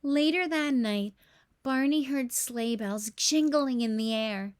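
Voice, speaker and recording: A woman hosting a read-aloud, narrating a children's storybook in an expressive, careful storytelling style. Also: a little high, slow.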